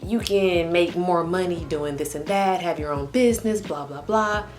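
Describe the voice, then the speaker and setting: A woman's voice: fairly low pitch, moderate pace. A woman in her late twenties talking candidly to camera in a casual vlog, full of "like" and "um". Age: 20s. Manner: candid and chatty, heavy use of "like" and "um". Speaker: vlogger. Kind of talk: casual personal talk to camera.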